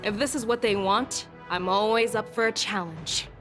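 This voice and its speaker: A woman plays a resolute female military envoy from an anime game, at an average pitch. Her delivery is measured, formal and full of command, with music underneath. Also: a bit bright, lightly acted.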